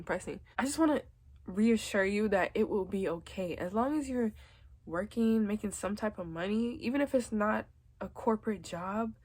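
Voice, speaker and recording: A young woman talks to camera in a loose, chatty vlog, her voice husky and slightly breathy. A head cold leaves her nose stuffed up. She reassures the listener warmly, dropping frequent "like" and "literally.". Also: on the low side. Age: young adult. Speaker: vlogger. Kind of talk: casual advice vlog.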